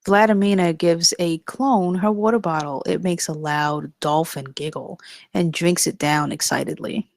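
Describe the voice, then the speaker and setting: A woman's voice: on the low side, steady tone, a little slow, creaky, a little mellow, dry recording, studio quality. A woman reading stage directions as narrator in a live scripted table read.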